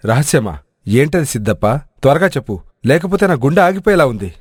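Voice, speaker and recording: A man voicing an excitable, loyal village adventurer in an animated moral story, with a dramatic delivery. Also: fairly high pitch, brisk, breathy edge, no echo, clean studio sound. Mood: impatient.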